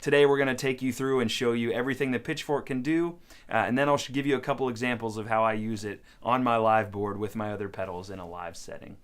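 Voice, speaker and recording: A man's voice: mid-range voice, fairly even intonation, fast. A man hosting a gear demo, explaining things in an informal, conversational way as a casual tutorial. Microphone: studio microphone into audio interface.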